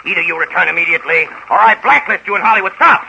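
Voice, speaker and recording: A bombastic Hollywood mogul, pitched very high with a hard, bright edge. He barks like a harried tyrant dictating in an old radio comedy, on a muffled vintage recording. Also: expressive, racing, vocal fry, slight background noise, full character voice. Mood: imperious. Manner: barking, domineering, dictating telegrams. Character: bombastic, harried Hollywood studio boss, adult man, bossy. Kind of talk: 1930s radio comedy acting.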